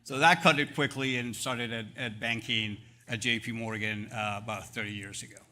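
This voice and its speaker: A man's voice: mid-range voice, fairly even intonation, moderate pace, pushed and bright, slight background noise. A man in his fifties with an Argentine Spanish accent, a banking executive answering questions in a live panel interview, upbeat and promotional, piling up figures with fillers and restarts. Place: event stage.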